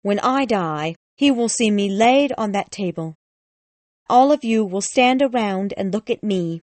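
A woman playing a bitter, imperious old bride obsessed with her death, sharp and commanding, in an acted audiobook. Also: medium pitch, animated, unhurried, no echo, lightly acted.

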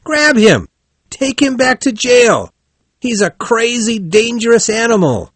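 Storybook role: male reader as polite, trusting gentleman motorist, emphatic and very animated, dry sound. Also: mid-range voice, normal speed, breathy edge, no echo, voice pushed up, exaggerated voice. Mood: alarmed. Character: courteous, trusting gentleman motorist, easily alarmed.

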